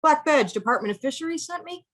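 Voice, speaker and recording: A woman's voice: high-pitched, expressive. A woman playing a hesitant, awkward male fisheries scientist in a comedy table read. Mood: nervous. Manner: hesitant, halting.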